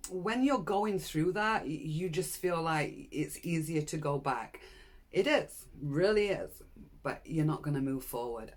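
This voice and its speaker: A woman, frankly telling her own story to camera in a personal vlog and urging viewers on. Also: low voice. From Britain. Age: 60s. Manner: frank, earnest, encouraging. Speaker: channel host.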